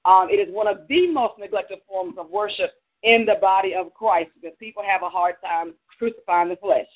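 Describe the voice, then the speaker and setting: This is a man with a congested voice, teaching a church prayer class as pastor and praying aloud. He talks loosely and pauses on many fillers. His voice is high and comes through a narrow, tinny phone line. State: congested from allergies. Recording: narrow phone-line sound on a talk stream.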